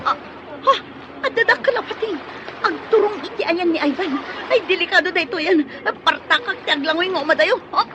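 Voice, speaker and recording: A woman's voice: high voice, noisy background. An actress with an Ilocano accent playing a frantic, bossy woman in danger, urgent and scolding, in a radio drama. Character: frantic, bossy woman fleeing a sinking ferry.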